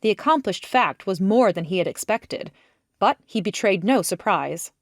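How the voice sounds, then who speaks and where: Lady narrator reading a classic novel audiobook, medium pitch, really dry studio-close sound. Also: lively intonation, normal speed, no echo. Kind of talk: scripted literary narration.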